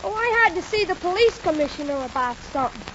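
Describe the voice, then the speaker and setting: A woman's voice: very high-pitched, sing-song, fast, fairly bright tone, full character voice. A woman playing a cheeky, worldly-wise little boy in a 1940s radio comedy. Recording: dull, hissy 1940s radio recording.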